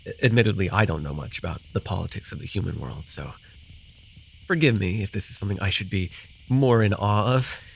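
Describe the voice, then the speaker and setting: A man's voice: lowish voice, fairly quick, gravelly. A man acting a rambling, amused forest fae in a fantasy ASMR roleplay, telling his tale with hesitant, apologetic asides. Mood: curious. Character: rambling, amused male forest-dwelling fae storyteller with bird companion. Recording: noisy with cricket and insect ambience. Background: night forest ambience with crickets and insects.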